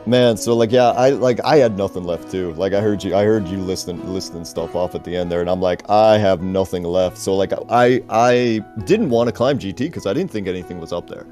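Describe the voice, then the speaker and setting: A man's voice: low-pitched, brisk, hardly any pauses, dark tone, soft background music. A man, a racer chatting casually after a race, rambling and repeating himself as he restarts his sentences. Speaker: tournament racer. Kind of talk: casual post-race chat.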